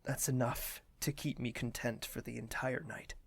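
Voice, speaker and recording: An actor playing a burdened young prince in an audio drama, speaking formally and introspectively with a weary manner. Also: male voice, medium pitch, level tone, moderate pace, gravelly, background noise, lightly acted. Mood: wistful, tired.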